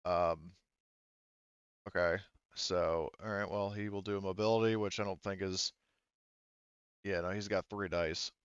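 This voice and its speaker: A man's voice: low voice, fairly even intonation, a little slow, long pauses, smooth, no room sound, highs cut off. A man running a tabletop game as game master, narrating casually and thinking aloud in a hesitant way.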